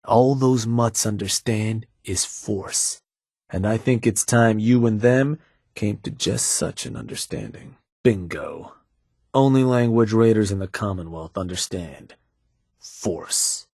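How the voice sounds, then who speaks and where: Calculating raider lieutenant, low voice, husky edge, dry close sound, game dialogue. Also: male voice, measured pace, a little mellow, dry recording. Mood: scheming. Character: shrewd male raider gang lieutenant, calm. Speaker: game character. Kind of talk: game voice lines.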